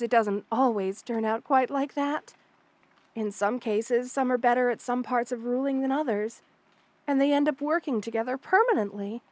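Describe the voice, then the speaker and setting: A woman voicing a kind, motherly spider queen in an ASMR roleplay, soft-spoken, doting and reassuring as she speaks to the listener. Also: on the high side, no echo, lightly acted. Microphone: close studio mic, dry.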